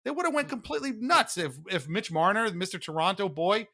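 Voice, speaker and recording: Bright-voiced man chatting about sports. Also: high-pitched, sing-song, brisk. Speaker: podcast co-host. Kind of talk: casual sports talk.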